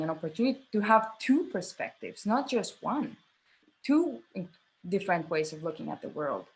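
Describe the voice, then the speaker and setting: A woman's voice: medium pitch, fairly bright tone, poor audio. Earnest woman. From Mexico. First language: Spanish. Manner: earnest, emphatic, searching for words with repeats. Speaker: guest, theatre artistic director. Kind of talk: conversational panel talk.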